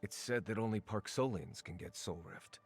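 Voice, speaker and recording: An actor plays a knowledgeable male game character with a low voice. He explains things gravely, in a solemn, measured manner, with soft music in the background. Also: close to an everyday voice. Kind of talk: video game voice acting.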